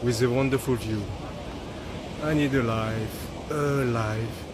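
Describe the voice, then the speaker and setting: A man's voice: medium pitch, steady tone, takes it slowly, background noise. A man hosting a casual vlog outdoors at a beach, upbeat and repeating a catchphrase. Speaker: vlog host. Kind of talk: casual promo chatter.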